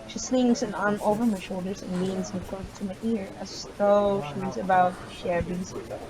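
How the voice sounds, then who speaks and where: A woman gamer reading visual novel narration aloud, halting and stumbling over words. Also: medium pitch, steady tone, a crowd in the background.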